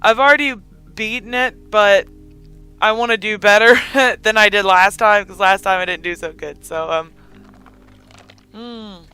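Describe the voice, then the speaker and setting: A gaming host with a hoarse, raspy, squeaky voice, chatting casually over gameplay with a lot of giggling and rambling ums. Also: unhurried, pauses often, bright, forceful tone, faint music behind. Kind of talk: casual let's-play commentary.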